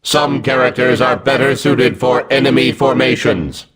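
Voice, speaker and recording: A man's voice: medium pitch, fairly even intonation, measured pace, fairly bright tone. A man playing a terse, emotionless combat robot in a video game, with clipped mechanical delivery. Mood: flat, matter-of-fact. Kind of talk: video game tutorial voice lines.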